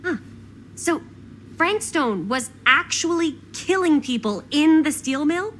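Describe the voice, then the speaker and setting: High-voiced, theatrical sarcastic young American woman. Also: lively intonation, slow pace, fairly bright tone, slight background noise, heavily acted. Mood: curious. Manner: theatrical, sardonic, casual slang. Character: dramatic, sarcastic, inquisitive young woman making an amateur film. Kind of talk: naturalistic video game acting.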